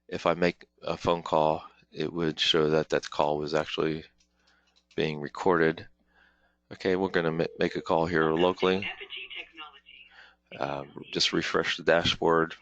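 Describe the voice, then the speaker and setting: Very deep-voiced man presenting a software tutorial. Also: dry recording. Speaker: webinar presenter, product trainer. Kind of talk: informal software tutorial, live demonstration walkthrough.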